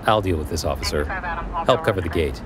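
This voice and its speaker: A man's voice: deep voice, expressive, quick pace. A man playing a weary, guarded police detective in an audio drama, speaking in an official way. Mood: wary. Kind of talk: naturalistic audio drama acting. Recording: noisy outdoor street ambience behind.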